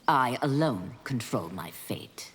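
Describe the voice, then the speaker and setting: A woman's voice: very deep voice, lively intonation, slow pace, exaggerated voice. An actress playing an earnest, resolute warrior woman in a video game, speaking with formal intensity. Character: earnest, resolute female warrior seeking redemption. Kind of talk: video game character acting. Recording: long reverb with clear background noise.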